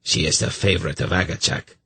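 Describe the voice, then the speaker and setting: A man's voice: sits low, brisk, bright, forceful tone, a little hiss or hum. A man voicing a bitter, conspiratorial underpriest in a fantasy audiobook, muttering with venom. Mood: dark, muttering. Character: dark, bitter, conspiratorial male underpriest of a cruel temple.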